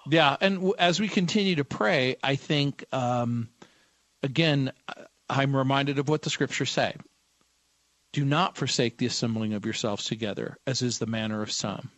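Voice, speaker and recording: Thoughtful radio host, dry studio sound. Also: male voice, medium pitch, moderate pace, a bit bright, no room sound. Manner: thoughtful, quotes scripture. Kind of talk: radio talk show chat.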